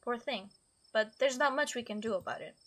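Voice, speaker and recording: A woman's voice: fairly high pitch, a little fast, a bit bright. A woman hosting a game playthrough, reading dialogue aloud expressively and adding her own commentary. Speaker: gaming video host.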